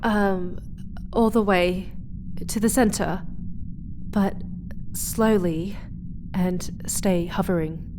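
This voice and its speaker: A woman playing a cautious but determined starship explorer in a sci-fi horror audio drama, speaking in a hushed, halting, deliberate way. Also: medium pitch, fairly even intonation, background noise, close to an everyday voice. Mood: tense, curious.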